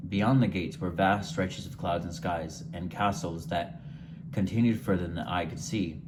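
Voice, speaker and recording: A man with a very low, deep voice reads his own short story in a soft, unhurried manner, stumbling now and then over a word. A steady hiss of background noise runs under his reading. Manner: unhurried, gentle storytelling read from a page with occasional stumbles. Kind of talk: first-person short story read aloud.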